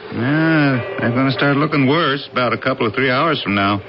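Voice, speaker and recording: An actor playing a cautious dockside acquaintance in a radio drama, speaking in a folksy, colloquial way. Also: male voice, mid-range voice, normal speed, background noise, lightly acted. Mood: concerned, friendly. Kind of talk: 1980s radio drama acting. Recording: dull lo-fi old radio broadcast, music bed.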